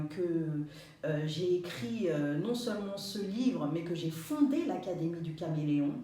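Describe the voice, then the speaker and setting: A woman guest on a radio interview, talking in a halting way with many fillers. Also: mid-range voice, a little mellow. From France. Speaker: guest author and sociologist. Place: radio studio. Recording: echoey, distant mic.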